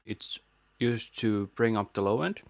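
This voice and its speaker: Male tutorial presenter, fairly low voice, informal step-by-step explaining, dry close-mic sound. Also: a little slow, creaky, dry recording. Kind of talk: casual software walkthrough.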